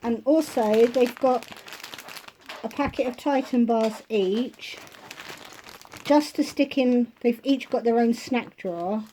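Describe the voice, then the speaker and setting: A woman vlogger at home, chatting casually through a shopping haul and listing prices, her voice going hoarse. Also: on the high side, dark tone, slight background noise, low-quality recording. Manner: chatty, unhurried, itemizing prices.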